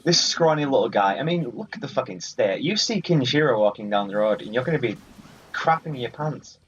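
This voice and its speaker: A man's voice: medium pitch, expressive, a little fast, a little hiss or hum. A man giving casual, rambling let's play commentary on a video game. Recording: muffled sound, game music behind. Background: video game music.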